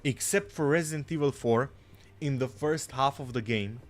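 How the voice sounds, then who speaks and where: A man's voice: mid-range voice, moderate pace. A young man from Romania, chatting casually as a gaming streamer, rambling, swearing and saying "bro" a lot.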